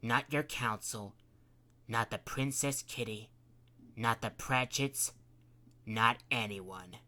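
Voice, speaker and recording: A man's voice: medium pitch, slow pace, bright, forceful tone. A man voicing a silky, taunting cat queen. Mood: possessive. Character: scheming cooing female cat queen.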